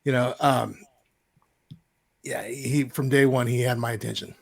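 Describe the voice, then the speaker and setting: A man's voice: medium pitch, steady tone, measured pace, gravelly. A man co-hosting a casual podcast, rambling and searching for words. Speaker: podcast co-host.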